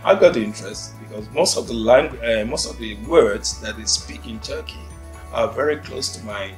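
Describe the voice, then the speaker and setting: Nigerian guy, yoruba is his first language, telling his story slow w lots of uh's, bit of music behind. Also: medium pitch. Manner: unhurried, thoughtful, many "uh" pauses. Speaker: interviewee.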